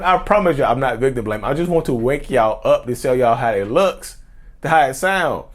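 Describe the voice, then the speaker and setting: A man hosting a casual vlog rant, emphatic and slangy, who keeps addressing his viewers directly. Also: medium pitch. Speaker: YouTube host.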